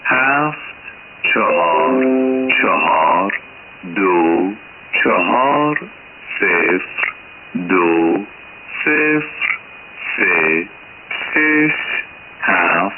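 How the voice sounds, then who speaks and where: A man's voice: low voice, lively intonation, extremely slow, long pauses. A synthetic-sounding man's voice reading digits one word at a time, in a clipped, mechanical way. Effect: synthetic computer voice. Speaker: numbers station voice. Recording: tinny shortwave radio with static.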